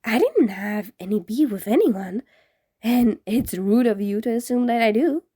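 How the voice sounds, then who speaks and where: A woman voicing a friendly teenage ghost boy in a storybook reading, easily confused and indignant, with animated casual teen talk. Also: fairly high pitch, moderate pace, husky, dry recording, studio quality.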